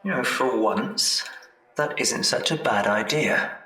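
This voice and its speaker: An actor as an impatient, sneering man in an audio drama, curt and scornful toward women. His voice is sharp and bright, set back from the mic in an echoey room. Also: mid-range voice, takes it slowly, distant mic.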